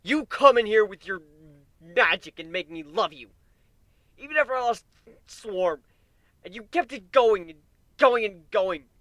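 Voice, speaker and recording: A man voicing a distraught young stallion pony who yells in wavering rage and swears. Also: high voice, animated, a little slow, dry recording, pitched above the performer's own voice, exaggerated voice. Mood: furious.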